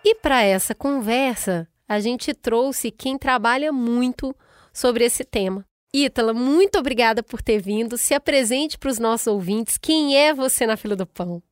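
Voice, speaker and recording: Mulher brasileira apresentando podcast. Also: female voice, fairly high pitch, measured pace, dry recording, studio quality. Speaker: podcast co-host. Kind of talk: podcast conversation.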